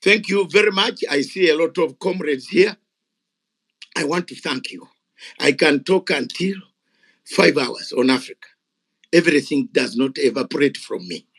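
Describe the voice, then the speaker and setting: An older man with a Ugandan English accent speaks at a gathering, high-pitched for a man, with a gravelly, husky edge. He is fiery and emphatic, hammering points home by repeating words. The speech is off the cuff and impassioned. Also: lively intonation, normal speed, pauses often, fairly bright tone. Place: gathering of activists.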